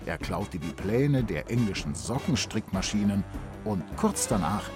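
Male narrator, lowish pitch, animated delivery, playful children's storytelling, clear music bed. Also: measured pace. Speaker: narrator of a quiz story segment.

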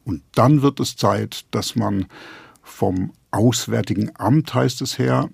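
Man, very creaky voice, husky, novelist guest, reflective, explanatory, long sentences. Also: medium pitch, slow pace, a little mellow, no room sound, clean studio sound. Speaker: novelist guest discussing own book. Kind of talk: reflective literary interview.

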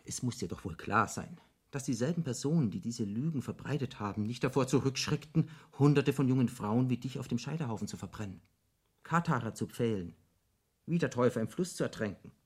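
An actor playing an eloquent devil in a radio drama, arguing in emphatic, elaborate rhetoric as a persuasive tempter. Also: male voice, mid-range voice, animated, fairly quick, breathy edge. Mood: emphatic, indignant.

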